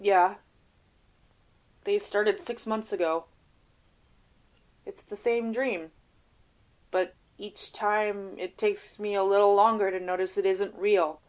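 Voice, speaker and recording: A woman playing a weary, defensive field agent in an audio drama interview, speaking haltingly and casually. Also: mid-range voice, steady tone, highs cut off, lightly acted. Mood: resigned, haunted.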